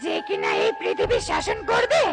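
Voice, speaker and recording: A performer voicing an evil, vengeful three-headed witch in a cartoon fairy tale, declaiming with shouted menace. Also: a bass voice, huge pitch swings, normal speed, far from the mic, over loud music, heavily acted. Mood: bitter.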